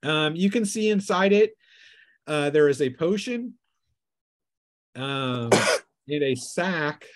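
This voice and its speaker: A man acting as game master, casually narrating scenes and rulings at a tabletop role-playing game, sometimes haltingly. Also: fairly high pitch, lively intonation.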